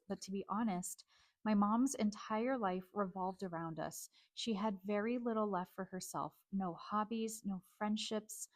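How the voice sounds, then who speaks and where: Female host, forties, native Chinese speaker, measured reflective monologue, mid-pitched voice. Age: 40s.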